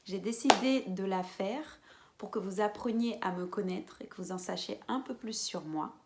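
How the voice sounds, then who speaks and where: A woman in her twenties from French Guiana, chatting casually to camera as a beauty vlogger, with quiet whispered asides. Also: mid-range voice, normal speed. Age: 20s. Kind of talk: casual vlog chat to camera.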